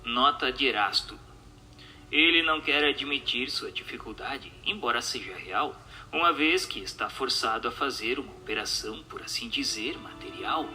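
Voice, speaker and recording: Bright, forceful Brazilian man reading formally. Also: mid-range voice, expressive, normal speed, faint music behind, low-quality recording. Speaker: reader of a spiritist radio drama. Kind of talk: scripted dramatized reading.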